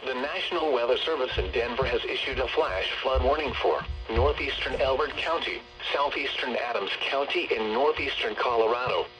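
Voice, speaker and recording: A synthetic male text-to-speech voice reading scripted emergency weather bulletins over the radio in a formal, measured way. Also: medium pitch, low-quality recording. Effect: weather radio broadcast. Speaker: automated weather radio announcer.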